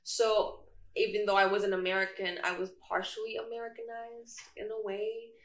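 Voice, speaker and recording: A woman's voice: mid-range voice, flat delivery, normal speed. A young woman from Indonesia chatting casually as a student guest, in informal, loosely hesitant phrasing. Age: young adult. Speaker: podcast guest, international student. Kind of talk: casual chat. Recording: roomy echo.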